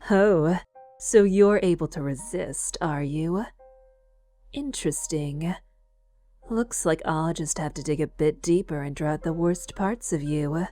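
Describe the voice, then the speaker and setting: A woman's voice: deep voice, measured pace, warm tone, faint music behind. A woman voicing a cruel, proud villainous queen with a cutting, taunting delivery. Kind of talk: audio drama.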